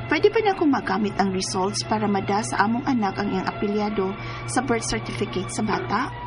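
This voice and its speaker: A woman's voice: mid-range voice, lively intonation, fairly quick, music in the background, lightly acted. An actress playing a distraught, emotional young woman in a Bisaya radio drama, with a Cebuano Filipino accent. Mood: earnest, troubled. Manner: melodramatic, emotional. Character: distraught pregnant young woman bereaved of her fiancé.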